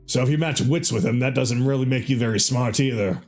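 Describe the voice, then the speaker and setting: A man's voice: mid-range voice, a little mellow, soft background music, close to an everyday voice. A man voicing a smug, bigoted gate guard in a fantasy game, drawling his mockery with relish. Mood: smug, condescending. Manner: smug, drawling, cackling, drawn-out words. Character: gloating, bigoted male gate guard, mocking captors and prisoners.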